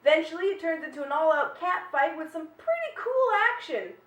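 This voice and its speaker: Very high-pitched, enthusiastic woman vlogger. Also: very animated, fairly quick. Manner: enthusiastic, chatty. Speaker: animation vlog host reviewing short films. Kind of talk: upbeat vlog review commentary. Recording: echoey room, distant from mic.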